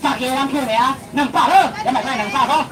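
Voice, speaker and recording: A young man with a Taiwanese accent auctioning seafood, calling out prices in a rapid sing-song patter and bantering with the crowd at an outdoor market. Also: very high-pitched. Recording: distant voice over loud market noise.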